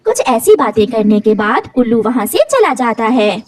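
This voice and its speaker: Female storyteller for children's cartoon tales, high voice, very animated delivery. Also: moderate pace, no echo. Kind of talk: scripted cartoon story narration.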